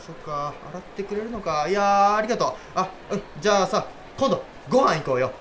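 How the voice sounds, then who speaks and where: An actor playing an excitable, lovestruck young man, cocky yet insecure, in slangy junior's speech for a comedic radio drama. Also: sits high, fairly quick, a little hiss or hum. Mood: flustered.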